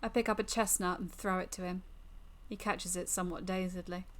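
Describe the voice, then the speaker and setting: A woman's voice: on the low side, steady tone, a little fast, fairly bright tone. A woman streamer reading a visual novel aloud as dramatic story narration.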